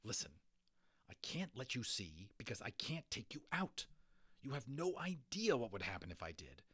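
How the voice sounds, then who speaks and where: A man's voice: mid-range voice, very animated, normal speed, bright, forceful tone, slightly rough, dry recording, clean studio sound, heavily acted. A man voicing an exasperated storybook schoolboy, speaking in an earnest, insistent way. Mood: urgent, reasoning. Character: exasperated schoolboy scolding and reasoning with tiny companions.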